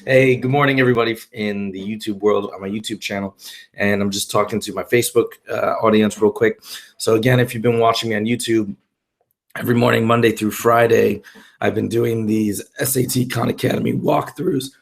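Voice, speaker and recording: A man with a hoarse voice, tutoring math on a live stream and working problems out loud in an upbeat, chatty way. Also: lowish voice, steady tone, a little fast. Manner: upbeat, chatty, thinks aloud. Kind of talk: live-streamed test walkthrough. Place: indoors at a whiteboard and screen share.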